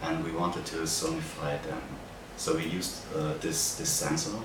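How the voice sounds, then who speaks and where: A young man from Austria with an Austrian accent, a native German speaker, haltingly explaining a project as an art student. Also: very deep voice, flat delivery. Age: young adult. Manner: hesitant, halting delivery with searching pauses. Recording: very echoey, distant mic, noisy background.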